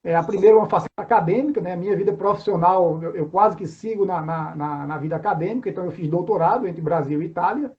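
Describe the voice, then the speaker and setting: A man's voice: high-pitched, fast, few pauses, a little mellow. A man in his forties with a Northeastern Brazilian accent, chatting informally as an interview guest, often ending phrases with tag questions. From João Pessoa, Paraíba, Brazil. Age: 40s. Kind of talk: casual chat, self-introduction. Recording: dull lo-fi online call sound.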